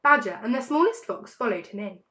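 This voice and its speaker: A woman narrating a children's story in an expressive, dramatic storytelling manner. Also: fairly high pitch, brisk. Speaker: story reader.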